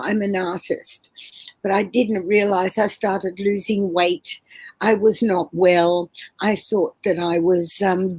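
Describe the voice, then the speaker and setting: A woman in her seventies telling her personal story as an interview guest on a video call from home, earnest and halting with many fillers and restarts. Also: medium pitch, darkish tone, husky. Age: 70s. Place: home room.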